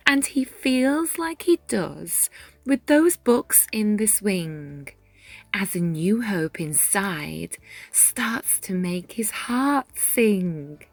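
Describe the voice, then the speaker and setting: A woman narrates a rhyming picture book with great expression. Her delivery is extremely unhurried and full of melodic rises and falls, with dramatic pauses. The recording is close and dry. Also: mid-range voice, big pitch swings, no echo. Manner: measured, dramatic pauses, drops into hushed whispers. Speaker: children's storybook reader. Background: background music.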